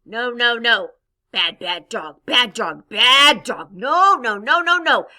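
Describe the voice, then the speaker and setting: A woman's voice: fairly high pitch, animated, slow pace, full character voice. A woman voicing an exasperated male dog trainer in a storybook, scolding and repeating stern commands. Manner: forceful, repetitive scolding.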